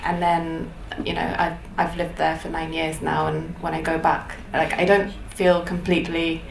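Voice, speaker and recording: A woman from Poland, native in Polish, speaks reflectively and haltingly from an audience. Her voice is creaky and even in pitch, caught in an echoey room with clear background noise. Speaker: audience member commenting. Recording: noisy, echoey room pickup.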